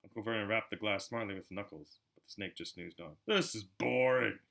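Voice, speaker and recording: A man reading a storybook aloud as an amateur narrator, in an expressive style. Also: lowish voice, fast. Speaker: amateur audiobook reader.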